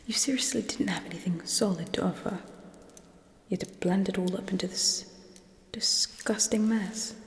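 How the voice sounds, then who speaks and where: A young woman voicing a haughty, menacing alien military ruler in a slow, breathy ASMR whisper, with cold, condescending contempt. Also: medium pitch, close to an everyday voice. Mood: disgusted, scolding. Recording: echoey room with long reverb.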